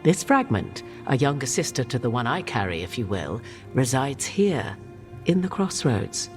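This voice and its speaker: An actress playing a cryptic, proud woman mage and keeper of old secrets, who speaks in a measured, archaic and aloof way in a video game cutscene. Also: rumbling low, sing-song, music in the background.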